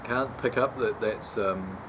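A man in his forties with a somewhat low voice, talking easily into a nearby camera mic on an outdoor patio. His manner is relaxed and chatty, with lots of "um" pauses. Clear background noise and outdoor ambience sit under the voice. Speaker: homebrew channel host. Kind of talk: casual beer review vlog. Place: sunny backyard patio, outdoors. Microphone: camera mic at close range, later handheld while walking.

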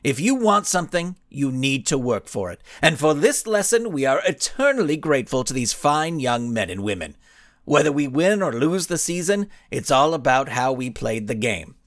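A man's voice: medium pitch, very animated, moderate pace, dry recording, studio quality. A man voicing a cocky, grandstanding male supervillain in a storybook reading, giving smug, showy speeches.

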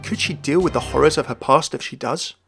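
A man's voice: a little high, fairly quick, creaky, fairly bright tone, slightly rough, faint music behind. A man reading scripted narration as the narrator of a video game lore explainer.

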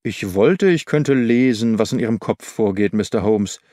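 A man's voice: on the low side, normal speed, warm tone, dry recording, clean studio sound, lightly acted. A man voicing an eager, blunt police inspector in an audiobook, pressing with questions. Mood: probing, curious. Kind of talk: audiobook character dialogue.

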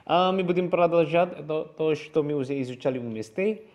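A man's voice: a little high, normal speed, a little mellow. A man with an Indonesian accent teaching a language lesson over slides in a second language, hesitant, full of fillers and restarts, and repeating each phrase.

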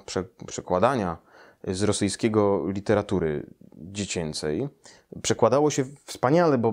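A man's voice: lowish voice, darkish tone. A man around his late thirties or forties, speaking as a storyteller for children. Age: 30s to 40s. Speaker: storyteller and translator reading his translation. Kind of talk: children's story read aloud. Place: small quiet room indoors, seated in an armchair.

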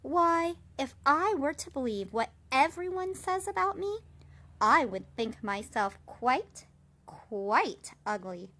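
A woman voicing a wise old female spider in a storybook, kindly, self-assured and gently reassuring. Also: sits high, very animated, slow, pitched above the performer's own voice, heavily acted. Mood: firm, warm. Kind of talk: storybook character reading.

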